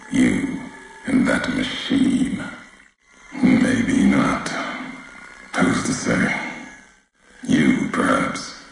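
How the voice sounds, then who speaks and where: Weary brooding wasteland courier from a game, super gravelly, talks slow n cryptic, menacing male voice. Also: rumbling low, very echoey, a little hiss or hum, full character voice, rough recording. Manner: slow, cryptic, solemn, clipped phrases. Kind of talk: scripted video game character voice lines.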